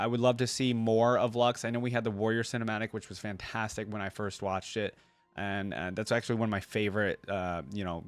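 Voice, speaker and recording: A man's voice: fairly low pitch, smooth, dry recording. A man giving casual, rambling, unscripted commentary to his viewers as the host of a video game reaction channel. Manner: rambling, conversational.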